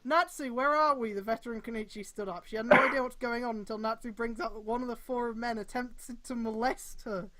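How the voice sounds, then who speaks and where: A woman streamer reading fan fiction aloud in a mocking live style, with exasperated outbursts, drawn-out emphasis and swearing. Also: fairly high pitch, a bit bright, slight background noise.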